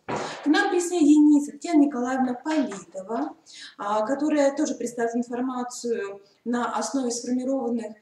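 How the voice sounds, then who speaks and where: A woman's voice: a little high, dark tone. A woman moderating a seminar, formally introducing the speakers and politely addressing her colleagues. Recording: echoey room, mic far off, faint noise.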